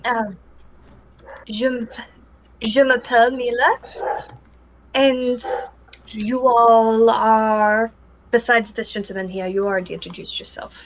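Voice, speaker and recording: A chatty woman plays in a tabletop session, giggling often as she talks. Her voice sits fairly high. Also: steady tone, takes it slowly, long pauses, a little hiss or hum, low-quality recording. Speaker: player. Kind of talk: casual tabletop banter.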